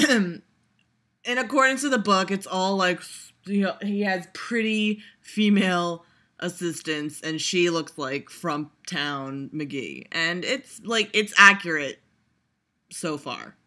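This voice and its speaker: A woman's voice: lowish voice, lively intonation, takes it slowly, bright, forceful tone. A young woman vlogger giving a sarcastic, profane reaction, rambling with lots of laughs and fillers. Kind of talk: casual reaction commentary.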